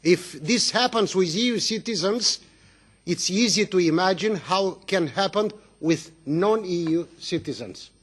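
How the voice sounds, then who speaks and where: A man's voice: high voice, expressive, breathy edge. A man from Romania, giving an emphatic, indignant speech as a member of parliament at a committee hearing. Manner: indignant, emphatic, with halting restarts.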